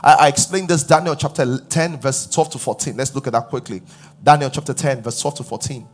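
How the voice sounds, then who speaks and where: A pastor in his forties preaching a sermon on a large church stage before a live audience, his voice rising loud on key points as he questions the crowd. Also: mid-range voice, brisk.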